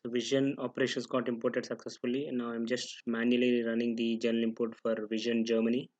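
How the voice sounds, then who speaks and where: A man giving an unscripted, step-by-step software tutorial, halting and pausing mid-sentence as he goes. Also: medium pitch, completely flat delivery, no echo, muffled recording.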